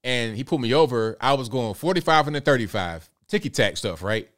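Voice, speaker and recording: Late-30s male streamer, relaxed conversational delivery, repeats his points. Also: medium pitch, brisk. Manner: laid-back, conversational, repeats himself. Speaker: livestream host. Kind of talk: casual talk reading viewer comments.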